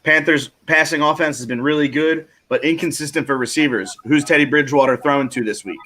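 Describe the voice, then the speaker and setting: A man's voice: on the high side, quick pace, pushed and bright. A man in his thirties giving casual sports analysis as a panelist on a livestream. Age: 30s. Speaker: panelist giving fantasy football picks.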